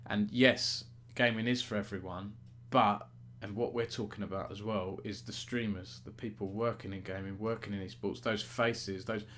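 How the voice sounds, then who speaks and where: A man's voice: fairly low pitch, fairly even intonation, normal speed, hardly any pauses, a bit bright, a little hiss or hum. Middle-aged man, casual interviewer. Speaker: interviewer, gaming news editor. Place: small home room, indoors, on a video call.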